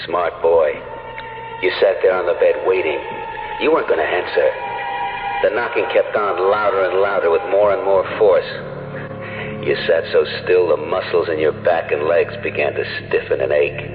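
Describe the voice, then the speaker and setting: A man's voice: deep voice, steady tone, moderate pace, narrow, tinny audio, close to an everyday voice. An actor playing a cold, taunting male tormentor who menacingly recounts another man's ordeal in an old-time radio drama. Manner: menacing second-person recounting. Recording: thin old radio, long reverb, music behind.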